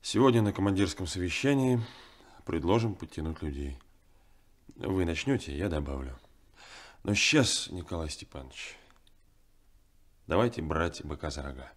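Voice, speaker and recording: An actor playing a businesslike, decisive sea captain in a 1970s Soviet radio play. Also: male voice, sits low, animated. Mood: brisk, decisive. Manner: brisk, decisive.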